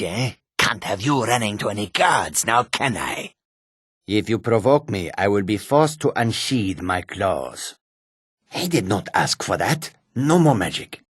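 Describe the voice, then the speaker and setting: A male actor playing a courteous, sly humanoid cat trader in a fantasy video game, with a gravelly, husky voice and measured, formal speech. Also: fairly low pitch, no echo, clean studio sound, heavily acted. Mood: wary, threatening. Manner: measured, formal, refers to himself in third person.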